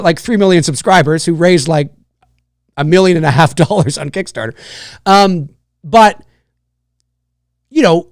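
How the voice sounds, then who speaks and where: A hoarse man with a fairly high voice hosts a live stream in a casual chat. He talks excitedly, shouts out supporters loudly and trips over his words as he restarts. His voice sounds close and dry, with no room around it. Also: dry recording. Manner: excitable, loud shout-outs, many restarts and fillers. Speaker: livestream host.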